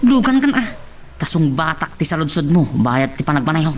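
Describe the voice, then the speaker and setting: A man's voice: a little high, sing-song, a little fast. A man with an Ilocano accent playing a cocky, chuckling crook who goads his pal in an audio drama. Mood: jolly, cocky. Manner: chuckles often, goading. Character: cocky, jolly male crook luring his pal into drug running. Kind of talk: Ilocano radio-style drama acting.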